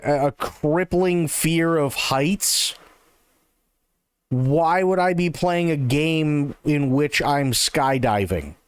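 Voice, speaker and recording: A man's voice: a little high, smooth, no echo. A man ranting sarcastically while reacting to a live showcase stream, swearing often and mocking what he hears. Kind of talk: profane live-stream commentary.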